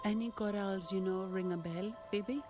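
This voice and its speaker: A woman's voice: medium pitch, fairly even intonation, normal speed, music in the background, close to an everyday voice. A woman voicing an inquisitive, level-headed young adventurer in a video game, talking matter-of-factly. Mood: reasoning. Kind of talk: video game character dialogue.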